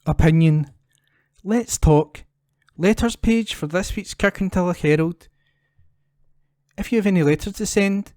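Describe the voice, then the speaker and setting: A man's voice: a little high, warm tone, no room sound, studio quality. A man reading newspaper letters aloud as a volunteer for a talking newspaper, recorded at home. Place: at home, indoors.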